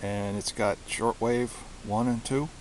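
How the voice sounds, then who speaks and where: A man giving a casual show-and-tell of his gear, speaking haltingly and often repeating himself as he searches for words. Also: medium pitch, fairly even intonation, low-quality recording. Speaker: hobbyist presenter. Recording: hissy amateur home recording.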